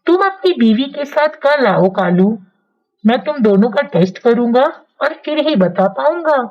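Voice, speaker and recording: A woman plays a calm owl doctor in a cartoon moral tale. The voice is high, measured and reassuring. The recording is slightly dull. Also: exaggerated voice. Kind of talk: Hindi cartoon moral story dialogue. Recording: dull, lo-fi sound.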